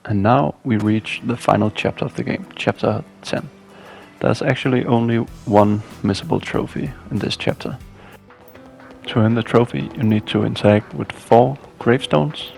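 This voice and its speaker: A man's voice: mid-range voice, moderate pace, creaky, darkish tone, faint music behind. A man narrating a scripted video game walkthrough as a guide.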